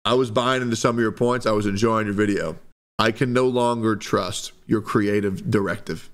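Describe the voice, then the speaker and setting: A man's voice: fairly low pitch, fairly even intonation, moderate pace, noisy background. A man doing off-the-cuff reaction commentary, firing rhetorical questions in a confrontational way. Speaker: reaction video creator.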